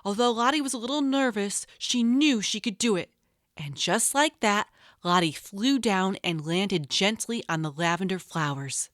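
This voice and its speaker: A woman's voice: medium pitch, lively intonation, moderate pace, a bit bright, no echo, clean studio sound. A woman narrating a storybook for young children as a storyteller.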